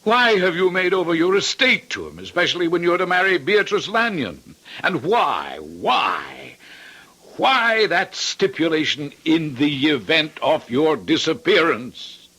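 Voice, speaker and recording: A man playing a concerned, insistent gentleman friend in an old radio drama, formal and urgent with dramatic pauses. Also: on the high side, big pitch swings, exaggerated voice. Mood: pleading, urgent.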